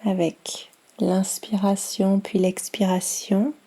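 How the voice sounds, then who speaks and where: Woman, yoga nidra guide, very dark warm tone, soft and soothing, slow pace, long pauses. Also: medium pitch, flat delivery. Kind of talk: guided meditation.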